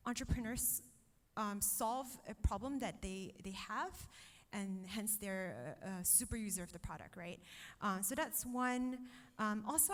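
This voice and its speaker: A woman in her thirties from Malaysia, an agency chief giving fluent on-stage interview answers with many "um"s and a tag "right?". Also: medium pitch. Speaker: startup agency chief executive. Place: on stage in a hall. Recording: reverberant hall.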